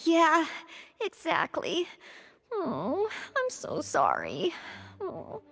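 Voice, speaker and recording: A woman's voice: high voice, wildly swooping pitch, extremely slow, over music, exaggerated voice. A woman voicing an earnest, dutiful young maid who speaks politely and eagerly in an anime-style video game. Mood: apologetic.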